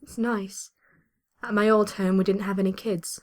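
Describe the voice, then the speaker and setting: A woman playing a kind, gentle young woman who is good with children, in an amateur audio drama. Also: medium pitch, steady tone, normal speed, lightly acted. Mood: relaxed.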